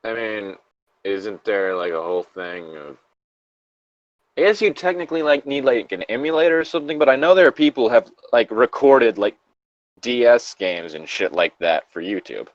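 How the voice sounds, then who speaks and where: Low-voiced man, dull dry recording. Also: expressive, normal speed, no room sound. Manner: sweary, sardonic in asides. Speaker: co-host reading video game creepypastas. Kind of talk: story reading with casual, joking asides.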